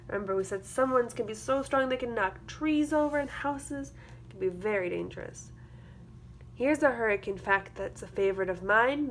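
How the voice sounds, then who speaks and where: A woman reading a children's picture book aloud as a teacher, with expressive, rhythmic delivery. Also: a little high. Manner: rhythmic, expressive delivery of rhyming verse with explanatory asides. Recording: clear background noise.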